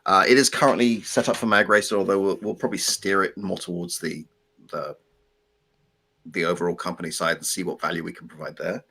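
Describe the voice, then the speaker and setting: A man's voice: sits low, steady tone, brisk, gravelly, sharp tone, breathy edge. A man with an English accent, originally from Belgium with French as his first language, co-hosting a casual live stream in a rambling, dry, self-deprecating way. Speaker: co-host.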